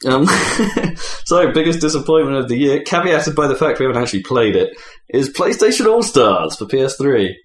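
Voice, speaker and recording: A man's voice: mid-range voice, expressive, moderate pace, hardly any pauses. A man hosting a casual gaming podcast, rambling and opinionated in his talk. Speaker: podcast host. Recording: echoey room with faint background noise.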